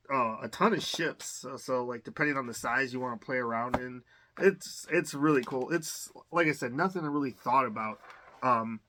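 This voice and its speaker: A man's voice: medium pitch, sharp tone, a little hiss or hum. A man hosting an unboxing in a casual, hesitant, rambling way, thinking aloud while a little under the weather. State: under the weather, occasional cough. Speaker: host of a collector's unboxing channel.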